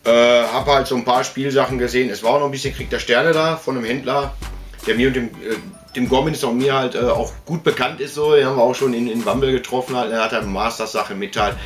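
A man's voice: mid-range voice, steady tone, fast talker, soft background music. A man, chatting casually to camera in a colloquial, rambling way full of tag words. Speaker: host of a collectibles video channel. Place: small indoor collector's room.

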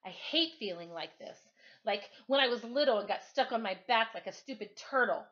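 A woman's voice: on the high side, expressive, moderate pace, fairly bright tone. Middle-aged woman teacher, dull lo-fi sound. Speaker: teacher reading aloud to pupils. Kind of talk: storytime reading of a novel, then informal address to pupils. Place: living room at home, on a couch.

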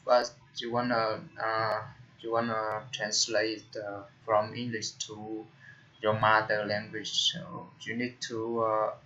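A young man with a Vietnamese accent, a tech tutorial host talking casually through a screen recording, halting with frequent fillers and restarts. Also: lowish voice, level tone, pushed and bright. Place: indoor room at a computer. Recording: dull lo-fi computer mic with faint noise.

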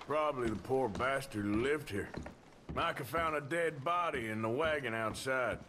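An actor playing a gruff, skeptical male outlaw in a Western video game, terse and dryly sardonic. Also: medium pitch, moderate pace, sharp tone, a little hiss or hum, natural voice. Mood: matter-of-fact. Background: game music.

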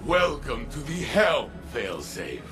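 A man voicing a weathered veteran male warrior in a video game, measured and solemn. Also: mid-range voice, very animated, over music. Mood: steady.

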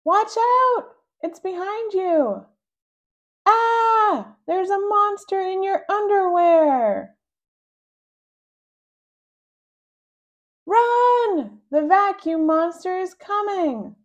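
A woman voicing an excitable, pesky little girl who fires off eager questions. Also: very high-pitched, lo-fi sound, pitched above the performer's own voice, heavily acted. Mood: alarmed. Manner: rapid-fire eager questioning.

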